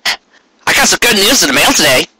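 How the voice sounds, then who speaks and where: A man's voice: high voice, big pitch swings, moderate pace, pushed and bright, husky, no room sound, voice pushed up, heavily acted, low-quality recording. A man voicing a proud, earnest male cartoon pony baker who is smitten and eager.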